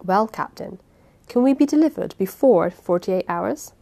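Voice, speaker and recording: A woman's voice: mid-range voice, expressive, measured pace, vocal fry, dark tone. Woman voicing an earnest male scholar.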